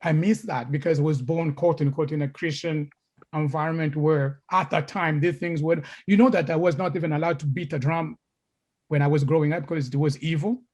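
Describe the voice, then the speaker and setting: A man's voice: fairly high pitch, fairly even intonation, a little fast, a little mellow, lo-fi sound. A middle-aged man from Congo giving a lecture as a story, repeating words and restarting sentences.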